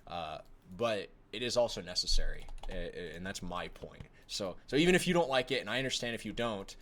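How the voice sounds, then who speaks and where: A man's voice: on the low side, lively intonation, fairly quick, fairly bright tone. A young man talking casually while playing a game, rambling and apologetic, with lots of fillers and restarts and a slightly whiny tone. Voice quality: self-described whiny voice. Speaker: gaming video creator.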